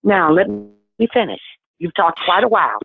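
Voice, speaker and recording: A woman in her sixties calling in to a conference call, talking forcefully and insistently. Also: lowish voice, animated, normal speed, vocal fry, breathy edge, dry recording, soft background music. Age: 60s. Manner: forceful, insistent, talks over others. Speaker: caller on an activist conference call. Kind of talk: impassioned phone call. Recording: tinny phone line.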